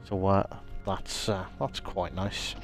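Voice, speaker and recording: A man's voice: deep voice, fairly even intonation, takes it slowly, faint music behind. A man, a little congested from a cold, chatting casually as he streams a game and greets viewers by name. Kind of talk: casual live-stream commentary.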